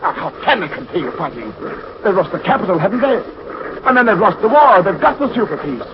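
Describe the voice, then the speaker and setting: Haughty conquering emperor, high commanding voice, declamatory 1940s radio drama, scratchy muffled recording. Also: male voice, very animated, fast talker. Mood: impatient, demanding.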